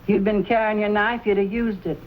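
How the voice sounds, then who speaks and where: An actress playing a worried, pious middle-aged frontier mother in a Western drama, gently moralizing. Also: mid-range voice, steady tone, a little fast, close to an everyday voice. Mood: reproving. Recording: dull old soundtrack with faint noise.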